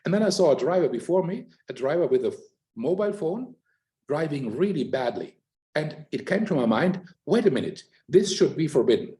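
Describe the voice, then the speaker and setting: An older man speaking in a thoughtful, explanatory way, pausing often with fillers in a conversation. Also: on the high side, big pitch swings, dark tone. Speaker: academic guest in a conversation. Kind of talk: reflective conversational talk.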